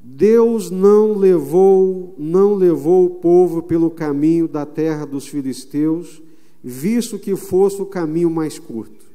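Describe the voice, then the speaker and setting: A Brazilian man preaching a sermon as pastor, emphatic, stretching some phrases slowly. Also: fairly high pitch, dark tone. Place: church sanctuary. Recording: long reverb of a church hall.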